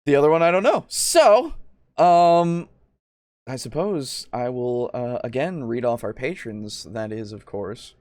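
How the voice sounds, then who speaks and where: A man's voice: mid-range voice, lively intonation, unhurried, a little mellow, dry recording. A man hosting a podcast in casual chat, with a playful, jokey manner. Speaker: podcast host. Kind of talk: casual chat, reading out comments.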